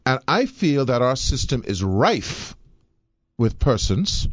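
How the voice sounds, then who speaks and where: Man, radio talk host, from the bahamas, emphatic, swooping animated pitch, dull lo-fi sound. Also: slow pace, no echo. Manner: emphatic, theatrical, playful. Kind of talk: live talk radio chat.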